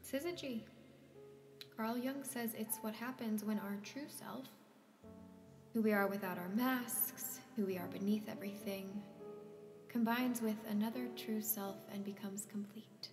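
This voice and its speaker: A woman playing an intense, searching woman in a stage drama, often breathy and near a whisper, in an echoey space. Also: mid-range voice, fairly even intonation, measured pace, music in the background, close to an everyday voice. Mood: intimate, wistful. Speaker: actor in a stage play reading. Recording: echoey hall reverb.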